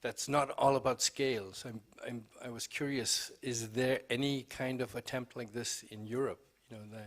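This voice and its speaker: A man's voice: medium pitch, fairly even intonation, moderate pace, hardly any pauses. A man with a mid-range voice talks in an unscripted panel style. He explains and frames questions for other speakers. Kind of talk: spontaneous panel discussion.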